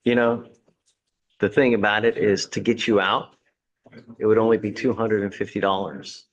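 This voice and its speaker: A man's voice: on the low side, level tone, moderate pace, dull audio. A man serving as judge in a courtroom, lecturing a defendant from the bench with blunt sarcasm and folksy sayings.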